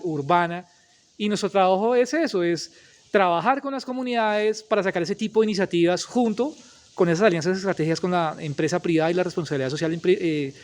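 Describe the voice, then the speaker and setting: A man, asking long, emphatic interview questions. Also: high-pitched. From Colombia.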